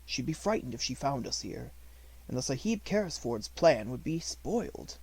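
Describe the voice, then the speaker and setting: A man's voice: medium pitch, sing-song, normal speed, darkish tone, slightly rough, rough recording. A man voicing a young, inquisitive male secretary in a storybook, with a clipped, businesslike manner. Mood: curious, uneasy.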